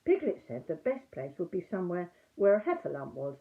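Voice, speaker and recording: An older woman narrates a children's storybook in an expressive storyteller's style. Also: on the low side, a little fast. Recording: muffled, narrow phone-like audio.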